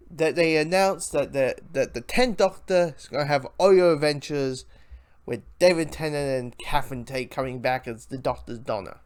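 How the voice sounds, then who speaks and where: A man giving a casual, unscripted fan review, rambling and halting with repeated words and false starts. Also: medium pitch, smooth.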